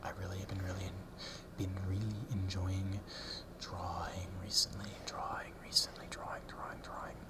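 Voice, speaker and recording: A man whispering a rambling ASMR talk close to the mic, softly repeating words as triggers, with ums and small nervous laughs. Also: sits low, completely flat delivery, normal speed. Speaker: ASMR creator. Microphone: close ASMR mic, brushed.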